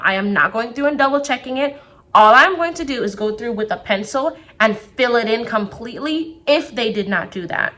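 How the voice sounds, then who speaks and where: A young woman teacher talking casually to the camera from her classroom, her voice a little raspy as it recovers, chatty and full of quick asides. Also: on the high side, bright, forceful tone. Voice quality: slightly raspy. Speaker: second grade teacher.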